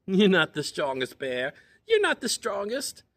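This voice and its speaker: A man voicing a small, cheeky female chipmunk with a squeaky, taunting voice in a told story. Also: huge pitch swings, measured pace, fairly bright tone, heavily acted. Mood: teasing. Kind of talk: oral storytelling.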